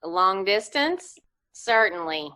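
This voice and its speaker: An actress as a formal female telephone operator, speaking very slowly in a bright, sharp voice. She is polite and officious, in the style of an old radio play. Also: mid-range voice, very animated, a little hiss or hum, full character voice. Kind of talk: old-time radio drama acting.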